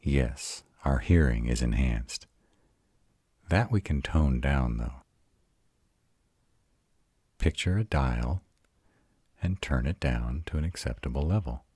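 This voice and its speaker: A man voicing a devoted, self-assured male vampire who patiently guides a newly turned mate in a scripted roleplay audio, speaking in a soothing, intimate, deliberate way. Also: a little mellow, no echo, exaggerated voice. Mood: gentle, reassuring. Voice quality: very deep, husky.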